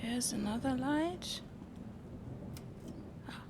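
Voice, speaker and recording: A woman's voice: high-pitched, lively intonation, drawn-out words, background noise. A woman streaming a video game live, thinking aloud in casual, sparse asides to herself.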